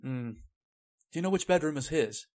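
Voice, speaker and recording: A man's voice: a little high, lively intonation, normal speed, a little mellow, close to an everyday voice. A man playing an anxious, uneasy employee in an old-time radio drama, speaking in a worried, hesitant way. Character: anxious, uneasy male employee searching his employer's gloomy house.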